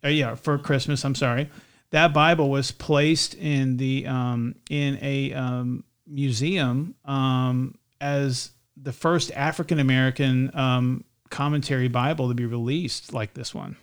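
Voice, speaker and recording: A man with a slight lisp, chatting casually as a podcast host in a halting way, often restarting his phrases. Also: medium pitch, steady tone, clean tone. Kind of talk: casual co-hosted podcast chat.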